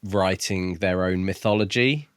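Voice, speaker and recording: A man's voice: low voice, measured pace, smooth, dry recording, studio quality. A man co-hosting a podcast, talking casually and reflectively as he pieces together an analysis of a book. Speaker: podcast co-host.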